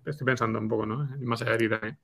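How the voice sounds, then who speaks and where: Gravelly, conversational Spanish man. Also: mid-range voice, fairly even intonation, racing, fairly bright tone. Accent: Spanish from Spain. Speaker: scriptwriter guest being interviewed. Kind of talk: casual interview talk.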